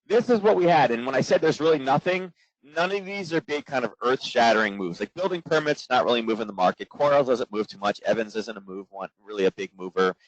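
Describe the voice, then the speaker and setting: A man in his forties, hoarse from coaching, giving a trading webinar in a quick, casual way full of fillers. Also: medium pitch, no echo. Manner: quick, filler-heavy, conversational. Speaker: trading instructor. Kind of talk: live webinar presentation. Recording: dull, dry lo-fi webinar audio.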